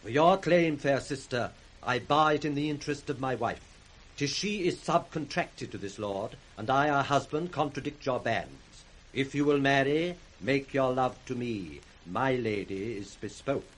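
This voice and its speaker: An actor playing a stern, righteous duke with formal, firm declamation in 1960s radio Shakespeare. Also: male voice, mid-range voice, lively intonation, a little slow, poor audio. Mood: stern, accusing.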